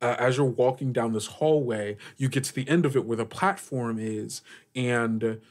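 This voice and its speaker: A man narrating an improvised tabletop game as game master, feeling his way through descriptions with frequent "like". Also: mid-range voice. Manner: halting, searching descriptions with frequent "like".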